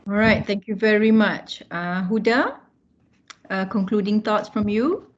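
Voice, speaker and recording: A woman's voice: medium pitch, a little slow. A woman hosting a group discussion in an encouraging, affirming manner. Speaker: book club host and moderator. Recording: dull lo-fi online call audio.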